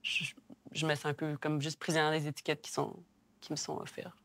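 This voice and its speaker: A woman with a Québécois accent sharing her experience reflectively, hesitant with many pauses and restarts. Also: sits low, completely flat delivery, a bit bright, no echo. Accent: Québécois French. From Quebec. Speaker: discussion participant.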